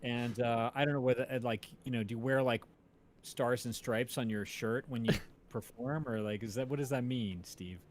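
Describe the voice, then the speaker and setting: A man hosting a live stream, chatting casually with a guest in a joking, rambling, halting way. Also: mid-range voice, a little hiss or hum. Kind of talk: casual chat, interviewing a guest.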